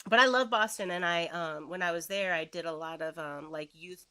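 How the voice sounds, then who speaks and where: A woman's voice: lowish voice, a little fast. Chatty nasal Midwestern woman. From Chicago. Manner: chatty, animated, laughs often. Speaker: guest, comics writer and poet. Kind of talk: casual interview chat.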